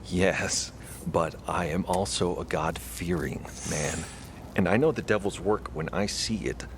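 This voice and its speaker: A man's voice: low voice, unhurried, background noise. An actor playing an earnest, suspicious, God-fearing young man in an audio drama, with formal, theatrical wording. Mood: accusing, suspicious. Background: music.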